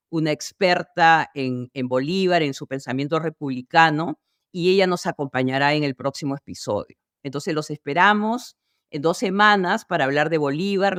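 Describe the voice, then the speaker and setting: A woman hosting a history interview, speaking off the cuff in a measured, formal, academic way. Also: low voice, no room sound, studio quality. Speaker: host. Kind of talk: history interview hosting, unscripted closing remarks.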